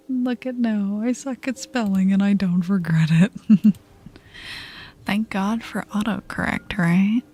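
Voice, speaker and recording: A soft, breathy woman murmurs slowly into a close ASMR microphone, often dropping to a whisper. Her tone is dark and mellow, and she chats casually and intimately with live viewers. Music plays behind her. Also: mid-range voice. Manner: relaxed, slow, intimate murmur. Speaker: ASMR streamer.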